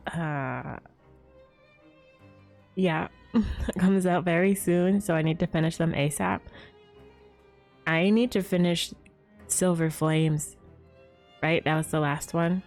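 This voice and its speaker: Lower-pitched woman chatting on a livestream. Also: steady tone, slow, long pauses, a little mellow. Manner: giggly, rambling. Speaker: game livestreamer. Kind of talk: casual chat with stream viewers. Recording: game music behind the voice.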